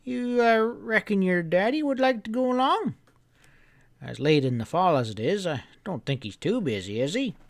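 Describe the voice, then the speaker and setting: Man voicing a folksy old country grandpa, pitched up. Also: lively intonation, moderate pace, no room sound. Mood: gently urging. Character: kindly, folksy old grandfather giving orders.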